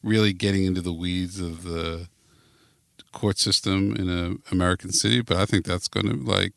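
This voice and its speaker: Guy on a podcast as guest, low smooth voice, real dry close sound, casual and kinda hedging. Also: steady tone, moderate pace, a bit bright, dry recording. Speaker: podcast guest, network founder. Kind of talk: casual interview chat.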